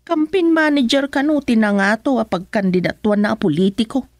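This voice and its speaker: Worried, scolding village wife for Ilocano radio serial actress: higher-pitched, colloquial, sceptical. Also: female voice, a little fast, dry recording, clean studio sound, natural voice. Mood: complaining, worried. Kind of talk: Ilocano radio comedy-drama acting.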